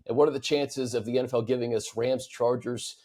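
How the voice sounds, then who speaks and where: A man's voice: medium pitch, fairly even intonation, fairly quick, darkish tone. An American man hosting a sports talk show in a casual, easygoing, conversational style. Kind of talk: casual sports talk, reading fan questions.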